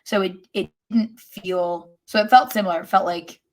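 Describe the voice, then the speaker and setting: A woman's voice: medium pitch, normal speed, poor audio. A woman on a soccer podcast giving casual match analysis in conversational talk.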